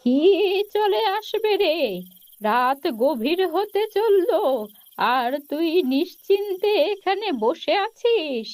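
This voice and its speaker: Shrill, slow old grandmother. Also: expressive, exaggerated voice. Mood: scolding, worried. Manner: scolding, drawn-out. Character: scolding old village grandmother, worried and bossy.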